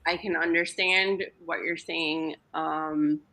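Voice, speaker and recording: A woman in her thirties, a Greek native speaker, talking openly as a podcast guest, with halting restarts. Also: low voice, steady tone, pushed and bright, rough recording. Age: 30s. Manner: open, laughs easily, halting restarts. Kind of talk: casual podcast chat.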